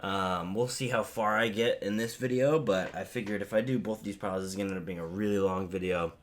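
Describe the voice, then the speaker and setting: Hoarse man with a head cold. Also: on the low side, fairly even intonation, quick pace. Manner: rambling, many fillers. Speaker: comic book channel host. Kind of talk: casual haul video talk.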